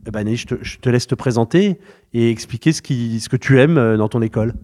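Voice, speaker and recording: A man's voice: medium pitch, fast, dark tone. A man interviewing students at a school, talking loosely and hesitantly with many fillers and restarts. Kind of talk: informal interview.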